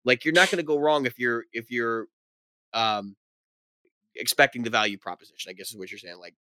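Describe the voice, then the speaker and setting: A man co-hosting a casual podcast with a mid-pitched voice. His tone is bright and tense, recorded dry with no room sound. He talks with sure opinions, peppered with "like". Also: no echo. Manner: quick, sure, uses "like" a lot.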